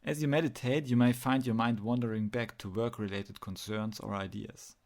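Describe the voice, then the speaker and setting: A man with a mid-pitched voice and noticeable vocal fry leads a guided meditation. He gives instructions in measured phrases and leaves pauses between them. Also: steady tone, darkish tone.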